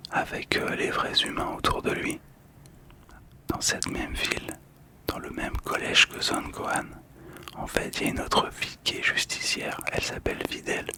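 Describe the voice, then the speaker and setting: A man whispers throughout in a breathy, unvoiced voice. He speaks softly and calmly, in the style of ASMR storytelling, with small hesitations as he goes. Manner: soft, calm, hesitant. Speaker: host of a relaxation video.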